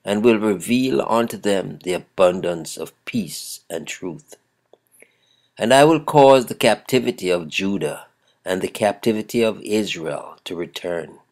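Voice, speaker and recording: A man with a croaky voice giving a daily devotional, chuckling often and reading scripture aloud with emphasis. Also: low-pitched. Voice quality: gravelly. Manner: chuckles often between phrases. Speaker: devotional host.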